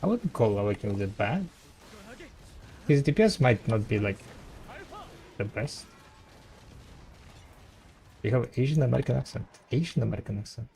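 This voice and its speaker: A man whose first language is Russian, with a light Russian accent, chatting with viewers as a game streamer and trailing off in halting restarts. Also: mid-range voice, animated, gravelly, mellow, a little hiss or hum. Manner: rambling, halting, many restarts. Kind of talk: casual chat with viewers.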